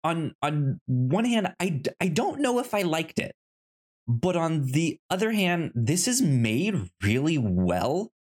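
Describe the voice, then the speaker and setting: A man co-hosting a casual film discussion, speaking haltingly and often repeating syllables and words in a stutter. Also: a little high, very animated, darkish tone. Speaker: podcast co-host.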